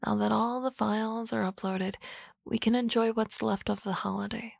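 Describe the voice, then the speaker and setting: A woman voicing a sardonic, teasing office-lady boss in an ASMR roleplay, with dry banter and soft whispery asides on a close mic. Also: on the high side, steady tone, normal speed, gravelly, no room sound.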